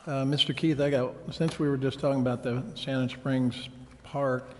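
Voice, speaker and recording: A man's voice: medium pitch, steady tone, normal speed. A man making remarks as a council member at a public meeting. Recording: echoey hall with long reverb, faint noise.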